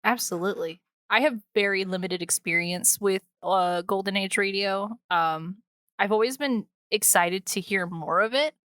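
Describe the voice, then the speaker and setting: A woman hosts a podcast in a loose, conversational style. Her voice has a middle pitch and is recorded close and dry. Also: normal speed, a bit bright, no echo. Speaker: podcast host. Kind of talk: casual chat.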